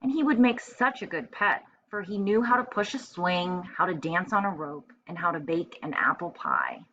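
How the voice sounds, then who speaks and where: A woman's voice: on the low side, very animated, moderate pace, bright, forceful tone. A woman teacher reading a storybook aloud to first graders, expressively and with simple explanations for young listeners. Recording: dull lo-fi home recording with faint noise.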